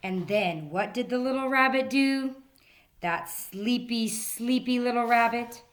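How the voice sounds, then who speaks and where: A woman reading a children's picture book aloud in a gentle storytelling manner, sometimes dropping to a whisper. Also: a little high, unhurried, a little hiss or hum. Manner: gentle storytelling, drops to whispers for the quiet parts.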